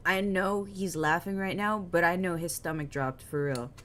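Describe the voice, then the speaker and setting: A woman's voice: on the low side, normal speed, fairly bright tone. A woman chatting casually and incredulously while watching videos. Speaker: co-watcher reacting. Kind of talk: casual reaction chat.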